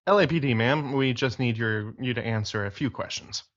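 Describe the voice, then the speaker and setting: An actor playing an easygoing, joking police detective partner. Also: male voice, mid-range voice, fairly quick, fairly bright tone, dull audio, close to an everyday voice.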